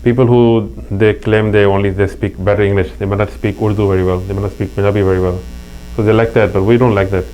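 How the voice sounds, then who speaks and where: A man's voice: lowish voice, little pitch movement, quick pace, few pauses, warm tone, highs cut off. A middle-aged man from Pakistan with a Pakistani accent, telling stories in an earnest interview chat. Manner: earnest, anecdotal, with frequent restarts. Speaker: interview guest. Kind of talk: casual interview talk. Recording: faint mains hum.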